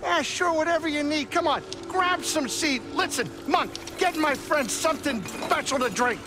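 A man voicing a gruff, warm-hearted alien saloon owner in a video game, excitable, blustering and teasing. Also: extremely high pitch, normal speed. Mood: hospitable. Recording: music behind the voice.

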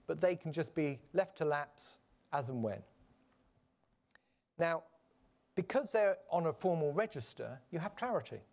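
A man giving a measured, explanatory conference presentation, with frequent fillers and restarts. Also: on the high side, expressive, gravelly, a little mellow. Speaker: conference panel speaker. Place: conference stage.

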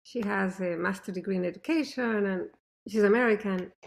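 Woman guest, thick non-native accent, earnest and halting, gravelly edge. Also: mid-range voice. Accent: non-native English accent. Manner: earnest, halting, restarts often. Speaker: homeschooling parent guest. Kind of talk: candid conversational interview.

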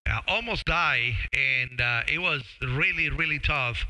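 A middle-aged man chats casually as a ham radio operator on a live stream, friendly and halting, into a close studio microphone. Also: mid-range voice, pushed and bright, low-quality recording. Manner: friendly, halting, calls listeners brother. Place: small home radio room. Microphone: boom-arm studio microphone with headset.

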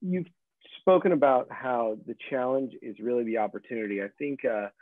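A man in his thirties asking casual interview questions in a halting way, over thin, phone-like call audio. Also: medium pitch, animated, no echo. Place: indoor room on a video call. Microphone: wireless earbuds on a video call.

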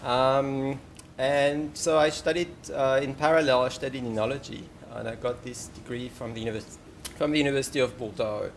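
A man in his forties with a French accent speaks from a podium in a large lecture hall. His voice has a gravelly, creaky edge and sits at an ordinary pitch. A faint hiss runs underneath as he talks in an earnest, halting way, with plenty of ums. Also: steady tone. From France. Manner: earnest, warm asides, frequent ums. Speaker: professor giving inaugural lecture. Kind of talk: formal lecture. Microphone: podium microphone.

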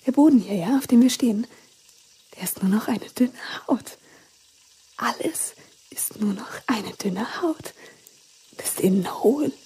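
Anxious daughter, breathy and husky. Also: female voice, on the high side, expressive, measured pace, long pauses, warm tone, full character voice, low-quality recording. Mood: haunted dread. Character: anxious, haunted grown daughter. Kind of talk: German radio drama acting.

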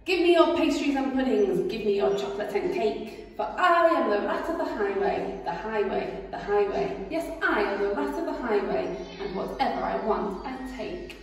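A greedy, bullying robber rat booms out his demands in a loud, raised voice, as a woman reads him aloud. The sound is roomy and distant, with a long echo trailing each line. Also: animated, moderate pace, few pauses, close to an everyday voice. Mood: bellowing. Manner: booming, bellowing demands. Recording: echoey room from far off.

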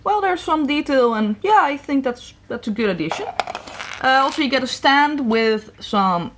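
A woman's voice: sits high, lively intonation, normal speed, background noise. Chatty woman reviewing toys at home. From Netherlands. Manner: chatty, hesitant, many fillers and restarts. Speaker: toy reviewer. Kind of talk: casual product review. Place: indoor room at a tabletop set.

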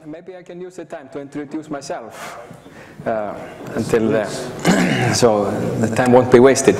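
Echoey, halting Icelandic man giving a talk. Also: medium pitch, lively intonation, gravelly, darkish tone. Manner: hesitant, halting, with frequent pauses and filler sounds. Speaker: conference speaker. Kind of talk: informal presentation to an audience. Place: indoor hall, standing by a projector screen. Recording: echoey hall from afar, background hiss.

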